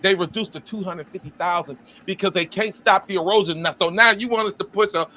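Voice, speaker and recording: Blunt, animated high-voiced man. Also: a little fast, a little hiss or hum. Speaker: podcast co-host. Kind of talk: casual sports chat.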